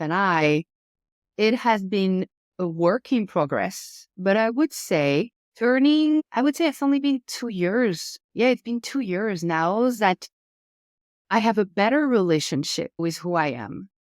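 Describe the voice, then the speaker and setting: French woman, late thirties, therapist guest, expressive delivery, smooth clear voice on a dry close mic. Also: dry recording. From France. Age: 30s. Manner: expressive, rises high when enthusiastic. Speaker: guest, family constellation therapist. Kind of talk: casual interview chat.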